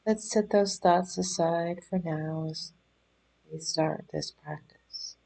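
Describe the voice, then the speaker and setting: Female meditation guide, soft-edged mellow tone, very unhurried delivery, gentle and soothing instructions. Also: fairly low pitch, fairly even intonation, vocal fry, rough recording. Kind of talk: guided body scan meditation.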